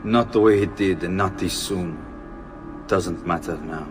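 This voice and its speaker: A man's voice: low-pitched, a little slow, over music. An actor playing a stern, commanding father in a video game. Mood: grave, urgent. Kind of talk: video game cutscene acting. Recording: long reverb, faint noise.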